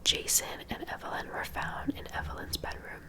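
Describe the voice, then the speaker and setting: Softly whispering woman telling true-crime ASMR. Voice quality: soft breathy whisper. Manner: unhurried, informal and conversational.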